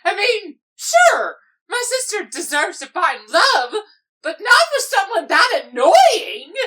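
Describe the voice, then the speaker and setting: Very high, melodramatic villain pony. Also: female voice, very animated, takes it slowly, pushed and bright, exaggerated voice. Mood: horrified, outraged. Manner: whiny, over-dramatic outbursts. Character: melodramatic, indignant villainous young mare, protective older sister. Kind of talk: fan comic dub voice acting.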